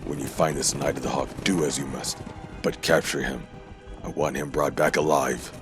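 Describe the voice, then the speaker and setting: A man voicing a stern, impatient dark knight general who speaks in imperious commands. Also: extremely low pitch, fairly even intonation, normal speed, music in the background, pitched below the performer's own voice. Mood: commanding, urgent. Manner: imperious, clipped orders.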